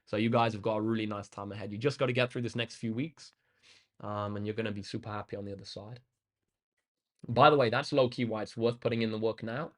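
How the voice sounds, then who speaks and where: Laid-back young man chatting. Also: mid-range voice. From England. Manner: relaxed and chatty, with frequent ums and uhs. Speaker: maths tutor hosting a livestream. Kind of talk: casual chat answering live comments. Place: indoor room facing a big window.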